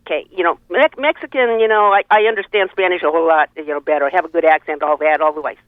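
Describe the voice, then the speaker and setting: A woman's voice: fairly low pitch, fairly even intonation, fast, dry recording. A woman calling a radio show by telephone, chatting in a rambling, earthy, opinionated way. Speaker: caller to a radio talk show. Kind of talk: casual opinionated chat. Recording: tinny, band-limited phone line.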